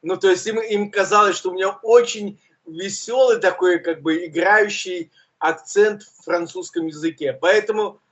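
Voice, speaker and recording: A man with a burred, uvular R, co-hosting a casual news chat and talking in a relaxed, self-mocking way with many fillers. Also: sits high, normal speed, muffled recording. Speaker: co-host, journalist.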